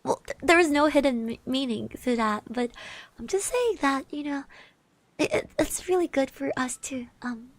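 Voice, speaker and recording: A young woman voicing a shy, kind eighteen-year-old girl classmate in an ASMR roleplay, soft and gentle, hesitant and reassuring, close to the mic. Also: sits high, lively intonation, normal speed, dry recording. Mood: flustered, shy. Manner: hesitant, bashful, stammering, reassuring. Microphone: close microphone.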